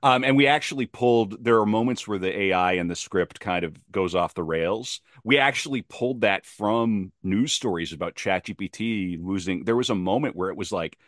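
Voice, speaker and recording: A man with a fairly low voice talks as a guest on a casual podcast. He is emphatic and opinionated, doubling back on phrases to drive them home. The sound is dry, with little room around it. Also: moderate pace, few pauses, fairly bright tone, no room sound. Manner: emphatic, opinionated, repeats himself.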